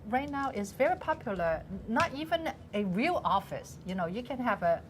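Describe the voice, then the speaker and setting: A woman from Hong Kong with a Hong Kong accent, her native tongue Cantonese, speaking as an interview guest in a TV studio, hesitant with many fillers and quick to laugh. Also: on the high side, big pitch swings, a little hiss or hum. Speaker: guest, business association president.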